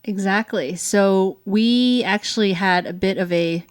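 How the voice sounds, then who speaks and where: A woman's voice: medium pitch, a little slow, clear, resonant tone. Upbeat woman hosting a chatty vlog. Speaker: show host. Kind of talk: chatty co-hosted family vlog.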